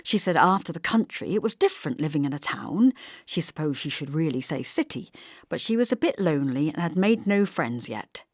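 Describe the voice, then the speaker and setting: Low-pitched woman narrating an audiobook. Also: expressive, fairly quick, dry recording, clean studio sound. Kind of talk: scripted first-person literary narration.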